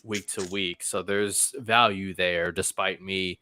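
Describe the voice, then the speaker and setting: A man's voice: sits low, steady tone, slow, pushed and bright, a little hiss or hum. A man hosting a casual chat show, talking informally and circling around his point.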